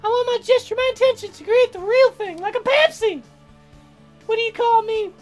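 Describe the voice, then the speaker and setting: A man voicing a dramatic, romantic young woman songwriter from a visual novel in a gushing falsetto. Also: brisk, faint music behind. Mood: wistful, dramatic. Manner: melodramatic, gushing.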